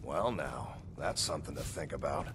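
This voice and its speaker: A man's voice: a bass voice, expressive, moderate pace, background noise. An actor playing a laconic, stolid male inn worker in a fantasy video game. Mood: flat, mild.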